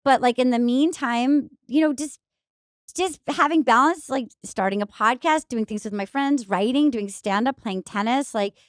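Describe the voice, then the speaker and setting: A woman in her forties with a high voice, chatting candidly on a podcast in an animated, rambling way. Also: normal speed, dry recording, clean studio sound. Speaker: guest comedian. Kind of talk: casual podcast chat.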